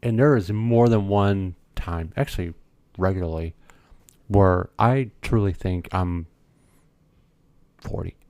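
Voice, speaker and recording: A man in his fifties co-hosting a podcast, chatting casually and telling stories in a relaxed, matter-of-fact way. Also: low-pitched, slow pace, no room sound. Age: 50s. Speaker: podcast co-host. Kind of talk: casual chat.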